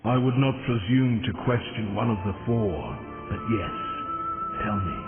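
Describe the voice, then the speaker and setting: An actor playing an ancient, weary maker elder in a video game, speaking slowly and gravely, with solemn weight. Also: male voice, lowish voice, close to an everyday voice. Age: older. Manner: slow, solemn, portentous. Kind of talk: dramatic video game acting. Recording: muffled narrow sound, loud music underneath.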